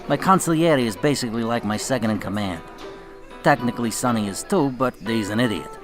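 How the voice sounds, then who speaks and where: An actor playing a cool, commanding mafia boss with dry, understated menace in a radio drama. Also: male voice, medium pitch, lively intonation, brisk, music in the background, close to an everyday voice. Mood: cool, businesslike.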